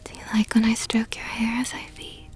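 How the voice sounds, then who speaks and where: A woman voicing a possessive, doting vampire countess in an intimate ASMR roleplay, whispering softly and slowly with a tender, teasing, coaxing manner. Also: fairly high pitch, monotone, faint music behind, close to an everyday voice. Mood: seductive, coaxing. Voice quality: breathy, soft whisper. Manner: slow, coaxing, affectionate murmur.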